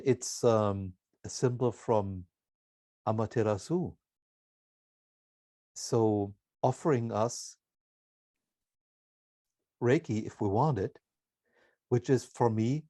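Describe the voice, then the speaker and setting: A man with a mellow, medium-pitched voice speaks very slowly in a dry, close sound. He interviews reflectively, setting out his thoughts in short, halting phrases. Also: dry recording.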